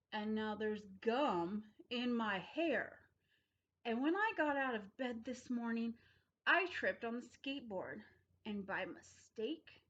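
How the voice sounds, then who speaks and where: A woman's voice: medium pitch, dull audio. A woman reading a children's story aloud expressively, leaning dramatically on key words. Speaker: storybook reader.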